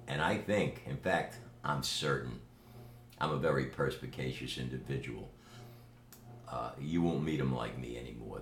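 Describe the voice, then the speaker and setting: An older man from New York City with a New York accent, recording a boastful, emphatic video message at home. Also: a bass voice. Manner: boastful, emphatic, slow deliberate delivery. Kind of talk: direct-to-camera video message. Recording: echoey room with faint ambient noise.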